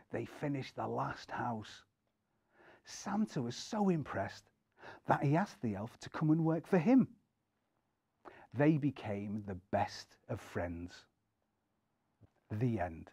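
A middle-aged man reading a children's story aloud in an expressive storytelling style. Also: mid-range voice, no echo, clean studio sound. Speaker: story reader.

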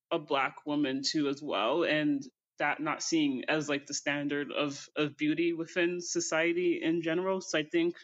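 A woman from Canada with a deep voice and a stutter, answering interview questions thoughtfully. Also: dull audio. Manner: thoughtful, measured. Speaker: podcast guest.

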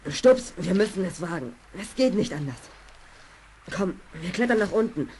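An actress playing a brave, determined young adventurer, earnest and urging, in a children's audio play. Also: female voice, lowish voice, animated, moderate pace, darkish tone. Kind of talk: 1970s German children's audio play acting. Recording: old audio drama, clear background noise.

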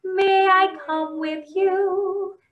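Woman singing as high friendly storybook animal. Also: fairly even intonation, faint music behind, full character voice. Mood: cheerful, singing. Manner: sung, drawn-out, sing-song. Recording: distant, muffled home webcam recording.

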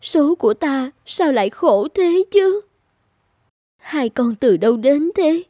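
A woman's voice: sits high, expressive, slow pace, dark tone, no room sound, studio quality. An actress playing a wronged, sorrowful young daughter and mother, plaintive and lamenting, in fairy-tale dubbing.

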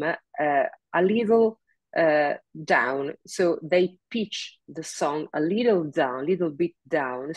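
A middle-aged woman singer with a big, low speaking voice talks slowly on a video call. She halts often with fillers and breaks into laughs. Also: darkish tone, no echo. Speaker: singer, interview guest. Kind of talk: casual video call interview. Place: home room, seated in office chair.

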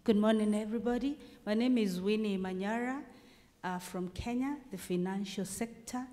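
A woman's voice: mid-range voice, moderate pace, mellow. A woman introducing herself at a conference in an upbeat manner. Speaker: conference participant. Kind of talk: self-introduction. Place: large lecture hall. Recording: hall reverb.

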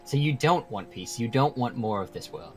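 A man's voice: mid-range voice, expressive, normal speed, darkish tone, natural voice. An earnest mortal mediator from a game roleplay acted by a man. He speaks in a medium register, persuasive and persistent. Music runs behind the voice. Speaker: roleplay actor. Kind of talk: improvised Minecraft supernatural roleplay.

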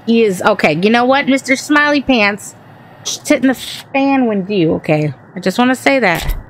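A woman with a Southern accent and a raspy voice chats to the ghosts while playing a horror game. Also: a little high, animated, normal speed, slight background noise. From Texas. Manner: talks to the game and its ghosts, jumpy and chatty. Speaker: gaming channel host. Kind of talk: horror game let's play commentary.

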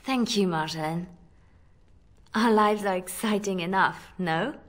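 A principled young woman spy performed by an actress in a video game. She speaks at a middling pitch, earnest and a little hesitant, pleading her case with worried sincerity. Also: measured pace, close to an everyday voice. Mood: gentle, reproachful.

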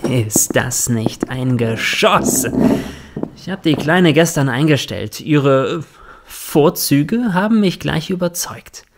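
A man's voice: on the high side, animated, takes it slowly, slight background noise. An actor playing a smug, demanding, lecherous male boss in an audio drama, talking in a commanding way. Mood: smug, leering. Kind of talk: German audio drama acting.

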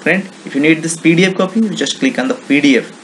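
A man with an Indian accent giving an informal software walkthrough, explaining step by step in simple, repetitive phrases. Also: on the high side, fast, a little hiss or hum. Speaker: software tutorial presenter. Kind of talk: informal screen-recording walkthrough.

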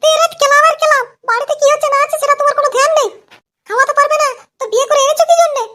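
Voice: extremely high pitch, steady tone, rapid-fire, pushed and bright, a little hiss or hum. A performer voicing a young married cartoon woman with an emphatic, coaxing manner in an exaggerated comic style. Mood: nagging. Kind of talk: exaggerated comedy cartoon voice acting.

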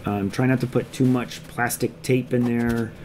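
Man, thirties, relaxed rambling how-to talk, body-worn camera mic, noisy basement with clucking chickens. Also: medium pitch, moderate pace. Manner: easygoing, rambling, many ums. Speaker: homestead vlogger. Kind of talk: casual how-to narration. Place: home basement workshop. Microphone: action camera on the body. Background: chicks cheeping.

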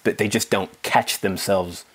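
A man's voice: fairly low pitch, unhurried, breathy edge. A middle-aged man hosting a podcast, talking candidly and casually with lots of "you know" and "like". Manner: candid and animated, many fillers like "you know" and "like". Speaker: podcast host. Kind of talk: conversational podcast interview.

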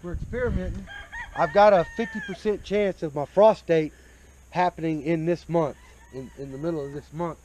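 Higher-voiced American man explaining outdoors. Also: normal speed, darkish tone, a little hiss or hum, poor audio. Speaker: homesteader showing his farm. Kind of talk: casual explanatory chat. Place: outdoors in a farm garden. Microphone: picked up by a nearby handheld action camera.

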